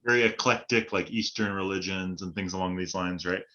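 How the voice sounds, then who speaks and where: A man's voice: sits low, a little fast. A man from Hawaii and Northern California chatting casually as a podcast guest, full of "like" and "right?" fillers. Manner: relaxed, rambling, many fillers like "like" and "right?". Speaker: podcast guest and fellow host. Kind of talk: casual conversation. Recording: dull lo-fi audio.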